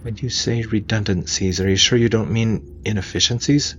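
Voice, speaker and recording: A man's voice: lowish voice, steady tone, moderate pace, lo-fi sound, slight background noise, pitched above the performer's own voice, natural voice. A man voicing a young female junior navy ensign in audio fiction, earnest and deferential. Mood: skeptical. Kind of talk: audio fiction character dialogue.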